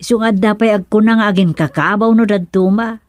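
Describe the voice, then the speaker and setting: An exasperated, chiding woman played by an actress in a radio comedy drama. The voice is animated and teasing, at a middling pitch, recorded close and dry. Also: brisk, no echo, natural voice.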